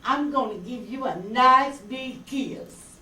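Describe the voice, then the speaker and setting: A woman's voice: sits high, slow, pushed and bright, full character voice. A woman playing a scheming, gold-digging wife in an amateur radio play, coaxing with false sweetness. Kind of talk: amateur old-time radio play acting. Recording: echoey, distant, noisy room recording.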